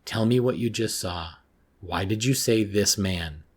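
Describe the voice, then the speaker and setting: A man's voice: fairly low pitch, a little slow, slightly rough. Man reading as a concerned woman school counselor. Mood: concerned, insistent.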